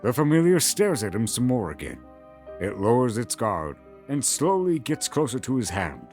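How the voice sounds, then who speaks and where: A man reads scripted fantasy narration. His pitch is average but moves a great deal, giving the story an animated shape. Faint music plays behind him. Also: normal speed.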